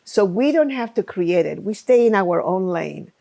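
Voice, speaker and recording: Gravelly woman guest, emphatic. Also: medium pitch, lively intonation, normal speed, mellow. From Chile. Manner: emphatic, speaks in short bursts. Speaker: guest, program founder. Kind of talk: conversational interview.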